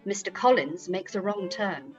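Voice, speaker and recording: A woman's voice: mid-range voice, lively intonation, moderate pace, highs cut off, soft background music. A woman reading scripted scene narration for a period radio play. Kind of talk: scripted stage-direction narration.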